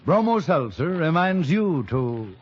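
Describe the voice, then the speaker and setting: A man's voice: fairly high pitch, big pitch swings, measured pace, background noise. A man making a formal, theatrical sponsor announcement as a 1950s radio announcer. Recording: muffled old radio recording with music.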